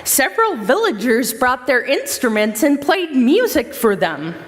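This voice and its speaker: A woman's voice: high-pitched, sing-song, unhurried. A woman narrating a scripted story for a readers theater stage play. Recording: reverberant hall.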